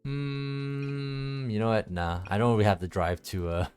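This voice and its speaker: A man streaming a game with casual commentary, thinking aloud in a halting, rambling way and repeating his phrases, with game music behind him. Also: fairly low pitch, little pitch movement, a little mellow, smooth.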